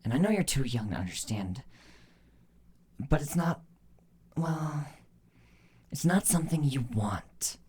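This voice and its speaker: A man voicing a stern, cool changeling mother in a storybook reading, speaking in a firm, measured, commanding way. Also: mid-range voice, big pitch swings, darkish tone, slightly rough. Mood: grave, cautioning.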